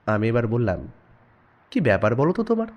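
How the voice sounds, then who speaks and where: A man narrates an audio story in a medium-pitched voice. His intonation moves widely and expressively. The delivery is scripted storytelling with acted dialogue. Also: wildly swooping pitch, fairly quick.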